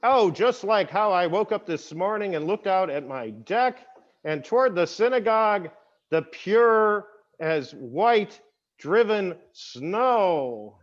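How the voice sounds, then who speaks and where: A man playing a self-important, kvetching fast-day holiday in a theatrical amateur comedy monologue. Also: sits high, expressive, highs cut off. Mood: mock-pompous complaining. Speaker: rabbi. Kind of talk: scripted comic monologue, amateur readers' theater.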